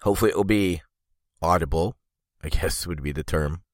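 A congested, stuffed-up man rambles through a casual podcast monologue in a low voice. His talk drifts, full of "you know" and "like." The sound is dry, with no room echo. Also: brisk. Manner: meandering, lots of "you know" and "like". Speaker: podcast host.

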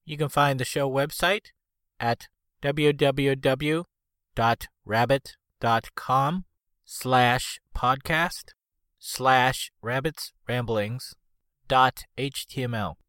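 Male announcer, scripted outro, slow careful delivery, dry close sound. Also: mid-range voice, a bit bright, clear, resonant tone, no echo. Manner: slow, deliberate, spelling things out. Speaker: show announcer.

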